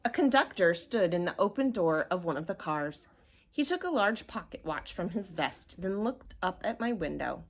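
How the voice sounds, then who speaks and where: A woman reading a children's storybook aloud in an expressive narrating style. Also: on the low side, normal speed. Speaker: storybook reader.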